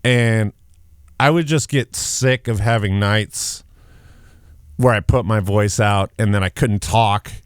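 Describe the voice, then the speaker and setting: A man who is a guest on a podcast, a comedian and punk singer, talking loudly and easily in casual conversation and often laughing. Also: on the low side, slow, clean tone, dry recording, clean studio sound. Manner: loud-voiced, laughing, easygoing storyteller.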